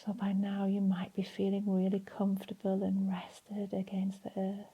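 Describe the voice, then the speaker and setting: Hushed, breathy woman guiding meditation. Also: mid-range voice, completely flat delivery. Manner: soft, breathy, soothing delivery with long pauses. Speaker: meditation and relaxation instructor. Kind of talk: guided relaxation meditation.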